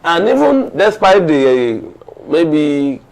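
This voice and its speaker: Nigerian man giving formal, deliberate commentary. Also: fairly high pitch, big pitch swings, creaky, darkish tone, poor audio. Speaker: studio guest commentator. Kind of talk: TV panel discussion.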